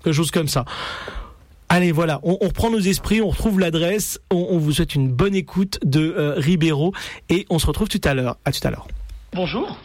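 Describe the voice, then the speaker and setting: A man's voice: on the high side, normal speed, a little mellow. A man hosting a radio show and interviewing in a casual, improvised, slightly hesitant way. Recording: clear background noise and ambience.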